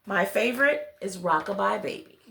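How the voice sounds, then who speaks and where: A woman reading a children's picture book aloud, expressive, speaking directly to her young listeners. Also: mid-range voice, moderate pace, creaky, roomy sound, slight background noise. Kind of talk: children's read-along with friendly asides to listeners.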